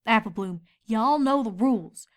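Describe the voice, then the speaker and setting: A woman's voice: a little high, lively intonation, normal speed, natural voice. A woman voicing a protective, responsible young farm mare, a folksy and bossy but caring big sister in a story. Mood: brisk, directing.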